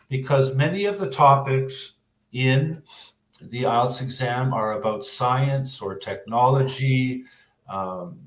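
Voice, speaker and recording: Slow-talking Canadian man, echoey room. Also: medium pitch. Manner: measured, hesitant, pausing often. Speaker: English teacher giving exam advice. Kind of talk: explanatory interview answers. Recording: roomy, echoing sound.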